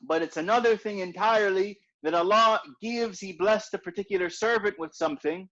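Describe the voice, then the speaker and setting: A man's voice: high-pitched, brisk, a bit bright. A man teaching an informal religious class in a conversational way, repeating his points and often asking "right?". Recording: dull lo-fi recording.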